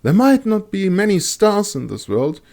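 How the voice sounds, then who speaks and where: Guy doing a lady astrologer in a fantasy story, higher pitch, assured and explainy, measured. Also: huge pitch swings, creaky, a little mellow, heavily acted. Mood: assured, hopeful. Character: knowledgeable woman astrologer, patient and assured.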